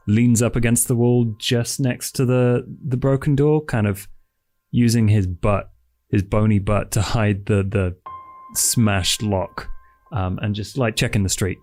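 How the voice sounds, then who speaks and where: A man's voice: on the low side, steady tone, unhurried, a little mellow. A man playing a tabletop game, describing his character's actions in hesitant, pieced-together phrases. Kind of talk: narrating own character's actions. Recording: music bed under the voice.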